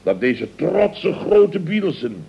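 Pompous stammering contractor uncle, old radio comedy, voice all over the place, kinda dull sound. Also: male voice, a little high, very animated, slow, gravelly, husky, full character voice. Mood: indignant bluster. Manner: blustering, stammering, grandiose. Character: pompous, easily flustered middle-aged building contractor uncle. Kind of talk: radio comedy serial acting. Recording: muffled old radio recording.

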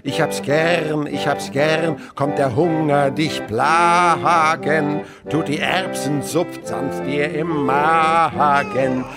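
A man's voice: fairly high pitch, steady tone, very slow, over loud music. Old father, eccentric, cranky, folksy, theatrical delivery, radio drama. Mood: jovial, singing. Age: older. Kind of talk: German radio drama acting.

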